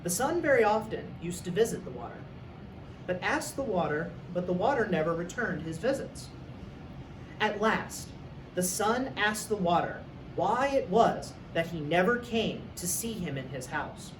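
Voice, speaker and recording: A man's voice: high voice, big pitch swings, normal speed. A man who works as a teacher, reading a story aloud for young students. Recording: echoey room, distant mic, faint noise.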